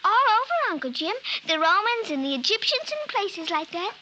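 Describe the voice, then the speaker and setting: Defiant small girl, very high piping childlike voice, matter-of-fact, vintage radio drama. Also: sing-song, moderate pace, bright, forceful tone, slight background noise, full character voice, low-quality recording. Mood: earnest explaining. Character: precocious, defiant little girl, about five. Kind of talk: 1950s radio drama acting.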